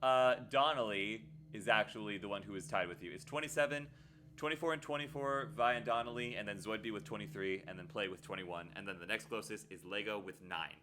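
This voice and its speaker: A man hosting a live stream, chatting playfully with sudden excited jumps in his voice. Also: lowish voice, fairly quick, slight background noise. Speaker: livestream host. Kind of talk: casual live stream chat.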